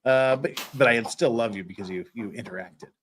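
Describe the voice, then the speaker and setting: A man's voice: medium pitch, a little fast. A man hosting a casual livestream, joking with viewers and playfully teasing them. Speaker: livestream host. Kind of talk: casual livestream chat, thanking viewers and reading comments.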